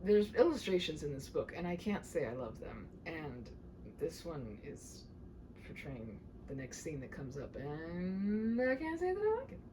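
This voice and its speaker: Woman in her thirties, expressive read-aloud, wry asides, very lively pitch, faint background noise. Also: a little slow. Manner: dramatic reading, wry self-interrupting commentary. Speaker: storybook reader.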